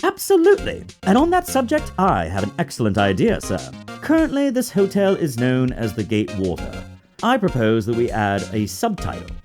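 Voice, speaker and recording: A wildly swooping, obsequious bellboy. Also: male voice, mid-range voice, huge pitch swings, normal speed, a little mellow, faint music behind. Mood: excited. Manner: obsequious, pompous, melodramatic. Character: eager, self-important, morbidly excitable hotel bellboy. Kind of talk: dramatized video game dialogue.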